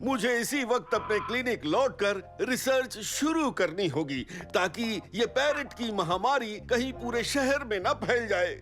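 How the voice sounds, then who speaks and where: A man's voice: high voice, lively intonation, a little slow, hardly any pauses, fairly bright tone, faint music behind. An actor, a man, playing an eccentric, fretful cartoon doctor with a theatrical, dithering manner. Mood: worried, dramatic.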